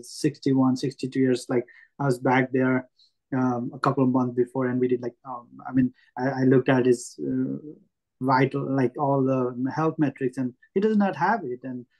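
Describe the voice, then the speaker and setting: A man's voice: mid-range voice, a little mellow, rough recording. A man from Nepal with a Nepali accent, asking a question as an audience member, hesitant and full of fillers. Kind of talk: spontaneous question.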